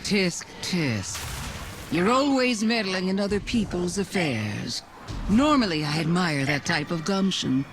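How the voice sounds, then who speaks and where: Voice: medium pitch, lively intonation, unhurried, background noise, lightly acted. An actor playing a scheming alien witch queen in a video game, condescending, mocking and menacing. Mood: mocking, scolding. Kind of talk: dramatic video game acting.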